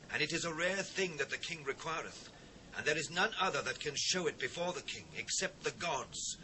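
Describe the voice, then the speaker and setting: A British actor playing a deferential ancient court astrologer, formal and declamatory in a dramatised scripture reading. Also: male voice, fairly high pitch, natural voice. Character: deferential court astrologer addressing his king. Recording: noisy old cassette transfer.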